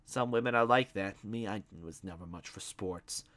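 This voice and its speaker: A man's voice: on the low side, moderate pace, a bit bright, lightly acted. Brief: meek New patrolman, voiced by a man, plain and eager. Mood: earnest. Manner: plain, earnest, apologetic. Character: meek, earnest young patrolman, apologetic.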